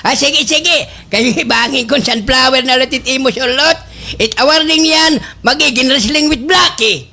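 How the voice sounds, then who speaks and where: Guy doing a filipino village captain, waray accent, super eager to please, high and piercing, radio comedy. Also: normal speed, bright, forceful tone, heavily acted. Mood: bossy, excited. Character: fawning, excitable village captain, male official. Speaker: actor in a radio drama. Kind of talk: slapstick satirical radio comedy acting.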